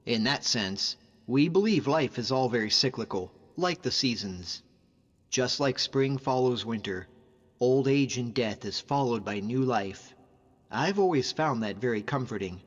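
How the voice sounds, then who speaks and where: A man plays a young Nordic hunter from a fantasy video game, loyal and devout. He talks in an earnest, informal way at a medium pitch. The sound is slightly muffled, with a long reverb and music behind him. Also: expressive, a little slow. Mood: reflective, earnest. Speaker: video game follower character. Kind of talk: conversational game character voice acting.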